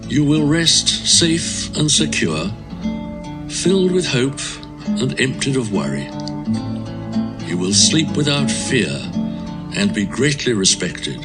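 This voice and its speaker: Self-righteous male accuser; emphatic and admonishing, very expressive melody, Bible audio drama with guitar-like backing. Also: mid-range voice, slow, long pauses. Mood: solemn exhortation. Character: stern, self-assured male accuser lecturing a sufferer.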